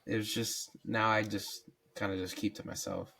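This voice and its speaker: Young guy, raspy today, low voice, halting and wistful. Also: flat delivery, bright, forceful tone, slight background noise. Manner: hesitant, wistful, many fillers. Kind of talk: casual chat.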